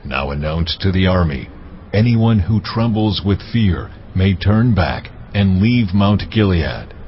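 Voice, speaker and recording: A slow, deep, commanding God. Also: male voice, animated, slight background noise. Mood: stern command. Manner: slow, weighty, deliberate delivery. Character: God, solemn, commanding male deity. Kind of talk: dramatised audio Bible acting. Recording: muffled audio under music.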